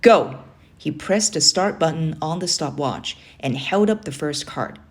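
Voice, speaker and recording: A woman narrating a children's book aloud in an expressive storybook style. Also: low voice, moderate pace.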